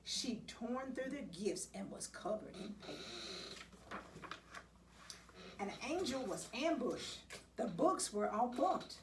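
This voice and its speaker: Woman, children's read-aloud, animated pitch, unhurried pace, dramatic pauses, distant mic. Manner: dramatic, expressive storytelling with deliberate pauses. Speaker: assistant principal reading aloud to children. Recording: distant mic, faint background noise.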